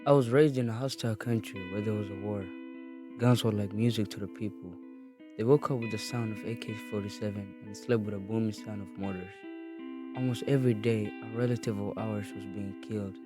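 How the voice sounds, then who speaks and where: Earnest young man from Somalia, low voice. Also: fairly even intonation, a little fast, music in the background. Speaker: narrator of his own life story. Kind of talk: read personal narrative.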